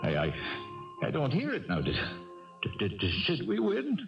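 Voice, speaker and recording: A man's voice: mid-range voice, wildly swooping pitch, normal speed, far from the mic. A man playing a humble, wistful, dying old horse owner in a radio drama, stammering and repeating words. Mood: hesitant, earnest. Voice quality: coughing, breathy laughs. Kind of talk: 1970s radio drama acting. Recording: muffled 1970s radio broadcast, music behind.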